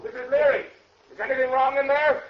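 A man's voice: extremely high pitch, fairly even intonation, brisk. An actor playing an alarmed, indignant male hotel manager in a 1940s radio crime drama. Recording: echoey, very noisy muffled old radio recording.

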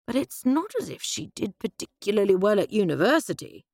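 A fussy elderly English gentleman, as a woman reads him, blustering and hesitant in his old-fashioned way. The voice climbs and dips in a lively sing-song, pitched a little above the reader's own and set a touch back from the mic. The recording is dry and close, with no room sound. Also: brisk, gravelly, no room sound. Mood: gloomy. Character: fussy older upper-class English gentleman.

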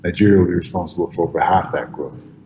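A man born in Egypt and raised in New York, giving energetic, rambling answers in an interview. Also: deep voice, quick pace. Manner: energetic, rambling, repeats words. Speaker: crypto company founder. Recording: roomy, echoey, dull audio with faint noise.

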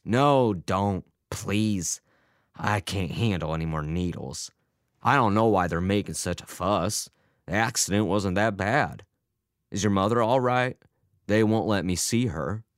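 A man voicing a confused, wheezy elderly father, gruff and childlike, in a story narration. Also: fairly low pitch, a little slow, no echo, clean studio sound, lightly acted. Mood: weary, confused. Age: older. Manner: muttering, cantankerous, swearing.

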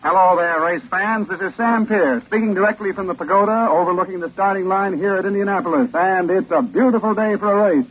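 An actor playing an enthusiastic, declamatory racetrack announcer in 1950s radio sports commentary style. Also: male voice, sits high, brisk, few pauses, lightly acted. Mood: hearty. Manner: hearty, declamatory. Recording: noisy, tinny 1950s radio broadcast.

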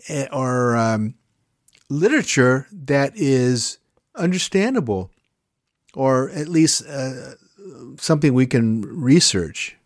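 A man's voice: mid-range voice, dry recording, clean studio sound. A man hosting an interview show, talking in a chatty, enthusiastic way with plenty of fillers as he promotes upcoming episodes. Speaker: podcast host.

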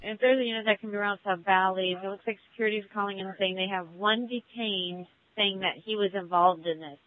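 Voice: mid-range voice, steady tone, fairly quick, no echo. Police dispatcher role, mid-range voice, procedural delivery through a narrow-band scanner. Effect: police scanner radio. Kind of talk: procedural police radio traffic.

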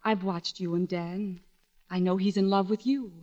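An actress playing a gentle, earnest young sweetheart in 1940s radio drama style on a dull vintage broadcast. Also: female voice, mid-range voice, fairly even intonation, moderate pace, lightly acted. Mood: hesitant, tender.

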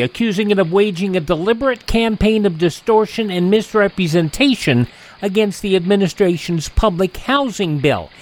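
High-pitched male radio host. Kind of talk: scripted news reading and announcing.

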